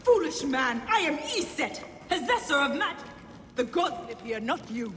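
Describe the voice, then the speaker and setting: A high-voiced, imperious sorceress in echoing halls. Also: female voice, big pitch swings, normal speed, a bit bright, a little hiss or hum. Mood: haughty, menacing. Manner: imperious, theatrical, declamatory. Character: fierce, grieving sorceress mother, fanatical tomb guardian. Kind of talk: dramatic video game acting. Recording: echoey reverberant hall with music behind.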